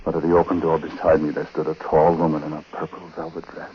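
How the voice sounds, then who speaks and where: A man playing a haunted, condemned husband telling his story haltingly and dramatically in a 1940s radio drama. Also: very deep voice, monotone. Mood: haunted, tense. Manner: hushed, halting, dramatic first-person telling. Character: haunted condemned husband recounting his wife's uncanny death. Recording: noisy, muffled 1940s radio broadcast.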